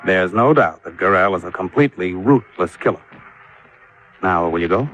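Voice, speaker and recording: A low-voiced, businesslike man, sober and earnest. He explains things in vintage radio drama style on a muffled recording. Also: animated, moderate pace, fairly bright tone. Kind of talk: 1950s radio drama acting.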